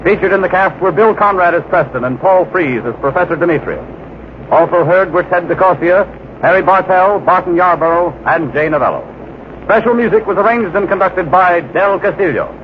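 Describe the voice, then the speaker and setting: A man's voice: sits high, moderate pace. A male radio announcer reading scripted credits in vintage broadcast style. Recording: muffled, noisy old radio broadcast.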